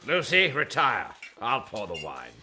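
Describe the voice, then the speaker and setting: Bossy Victorian householder, pompous, hearty, very lively intonation, radio play, clattering tableware behind. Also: male voice, mid-range voice, unhurried, exaggerated voice. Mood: sneering. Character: blustering, bossy, pompous master of a 19th-century house. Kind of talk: period radio drama acting. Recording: clattering dinner-table noise.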